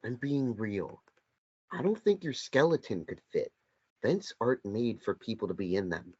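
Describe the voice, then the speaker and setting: A man's voice: on the low side, expressive, moderate pace, darkish tone, lightly acted. Needling sidekick, read by a man: dry, blunt, confrontational, very varied intonation, slightly lo-fi sound. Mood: dry, sardonic. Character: blunt, sardonic companion who needles a captive. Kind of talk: script table read. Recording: dull lo-fi sound.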